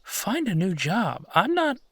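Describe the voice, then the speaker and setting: A man's voice: high-pitched, huge pitch swings, slight background noise, full character voice. A man playing a supportive, affectionate husband in an intimate comfort roleplay, talking in a reassuring, conversational way. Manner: reassuring, conversational, unhurried pauses. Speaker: audio roleplay performer.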